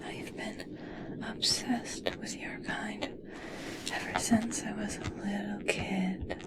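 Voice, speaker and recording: A woman playing a gentle, obsessed scientist fascinated by merpeople in a whispered ASMR roleplay, speaking softly, slowly and soothingly. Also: mid-range voice, fairly even intonation. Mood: obsessed, tender. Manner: soft, soothing, slow, coaxing.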